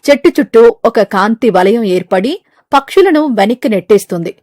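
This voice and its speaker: A woman's voice: a little high, lively intonation, moderate pace, darkish tone, no room sound, studio quality. A woman narrating a scripted moral story for children as the storyteller.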